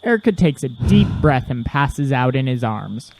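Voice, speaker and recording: A man narrating a scripted audio drama, with clear background noise. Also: mid-range voice, very animated, normal speed, a little mellow. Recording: clear background noise, clicks, outdoor ambience.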